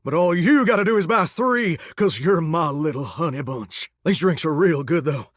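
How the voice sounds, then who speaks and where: An actor playing a cocky, folksy singing faucet in a video game, styled as a 1950s heartthrob with a hammy, drawling American Southern voice. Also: male voice, on the high side, lively intonation, normal speed, no echo, studio quality. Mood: playful, coaxing. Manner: hammy, drawling, old-fashioned rock-and-roll showman. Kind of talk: theatrical game voice acting.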